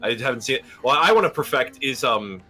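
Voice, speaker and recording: A man's voice: medium pitch, expressive, fairly quick, sharp tone, faint music behind. A man co-hosting a livestream, chatting casually and rambling, now and then slipping into put-on accents. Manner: rambling, playfully dropping into put-on British and Irish accents. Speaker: livestream co-host. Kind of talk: casual chat with impressions.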